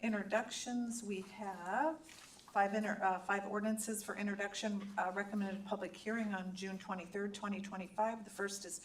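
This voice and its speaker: A woman's voice: mid-range voice, steady tone, normal speed, slight background noise. Woman clerk reading the agenda, correcting her slips, kinda distant from the mic. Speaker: city clerk. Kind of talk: reading agenda items aloud.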